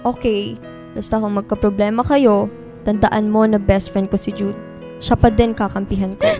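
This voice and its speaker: A woman's voice: medium pitch, little pitch movement, moderate pace, warm tone, natural voice. A woman playing a guarded, blunt, introverted young female student in a radio drama, speaking in a frank, dry way. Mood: blunt, defensive. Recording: music bed behind dialogue.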